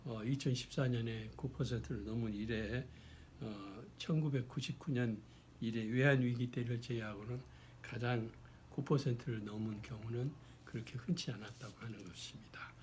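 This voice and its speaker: A man, hoarse from a cold, giving an explanatory talk in a formal, deliberate way with halting phrasing. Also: medium pitch, steady tone, darkish tone, a little hiss or hum. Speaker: lecturer. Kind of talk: explanatory talk on social issues.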